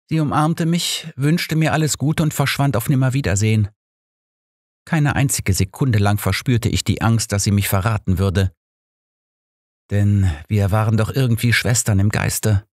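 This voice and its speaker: Man acting embittered woman, audiobook murderess, soft deliberate confession, dry close sound. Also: on the low side, dry recording. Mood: grim, confessional.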